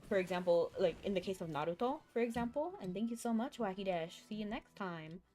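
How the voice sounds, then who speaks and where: A woman streaming a video game, chatting casually and thinking out loud as she plays, with a chuckle here and there. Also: medium pitch, fairly quick. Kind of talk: casual live-stream chat while gaming. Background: faint game music.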